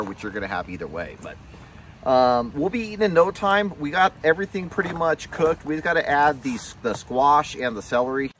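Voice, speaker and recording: A man's voice: mid-range voice, a bit bright, slight background noise. A man in his thirties, filming a casual camp vlog outdoors at a mountain campsite and explaining things in an easygoing way as he goes. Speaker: overlanding vlogger.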